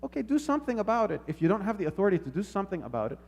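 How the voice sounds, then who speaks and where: A man's voice: sits high, big pitch swings, very fast, vocal fry. A man preaching a sermon in an instructive way, repeating phrases and checking in with short questions. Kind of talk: sermon with scripture readings and stories.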